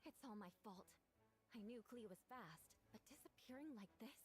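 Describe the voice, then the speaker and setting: Actress as a flustered, higher-voiced young woman. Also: expressive, normal speed, soft background music, close to an everyday voice. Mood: worried, flustered. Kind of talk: anime game voice acting.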